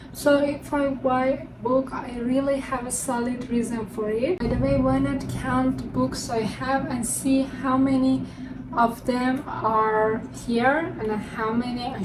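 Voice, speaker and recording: High-voiced woman, English not her first language. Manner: chatty and upbeat, presenting books one by one. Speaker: book vlogger. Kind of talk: casual vlog showing her unread books. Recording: distant mic with construction noise. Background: construction noise from a neighbor.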